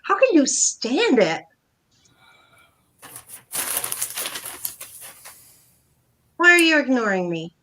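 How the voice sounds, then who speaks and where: Woman acting a high-pitched, aggrieved wife. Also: huge pitch swings, normal speed, bright, forceful tone, a little hiss or hum, full character voice. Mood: hurt, accusing. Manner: nagging, dramatic, aggrieved. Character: needy, touchy wife fretting about aging. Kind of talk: staged reading of a short play.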